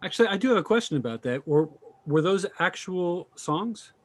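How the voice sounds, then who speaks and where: A man's voice: sits high, animated, normal speed, no echo. Earnest man asking rambling questions, dry sound. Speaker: panel moderator. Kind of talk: panel discussion over video call.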